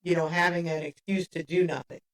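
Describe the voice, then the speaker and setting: A woman in her fifties with a gravelly voice, chatting as a podcast guest and laughing often. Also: fairly low pitch, brisk. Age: 50s. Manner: laughs often, lively storytelling. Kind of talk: casual chat. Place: a workshop.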